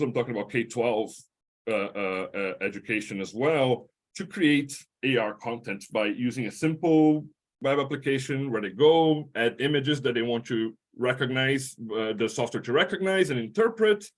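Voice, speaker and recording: Middle-aged guy w a portuguese accent giving a lecture, lots of uhs and restarts, keeps saying right?. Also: medium pitch. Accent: Portuguese-speaking. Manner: halting, many fillers and restarts, tag questions. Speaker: history professor giving a talk. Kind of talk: academic lecture.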